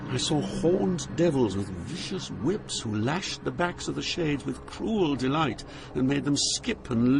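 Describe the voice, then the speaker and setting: A man's voice: medium pitch, gravelly, heavy background noise. An actor playing an awed, fearful poet pilgrim, narrating his journey through hell in a solemn, theatrical manner. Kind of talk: dramatized literary narration.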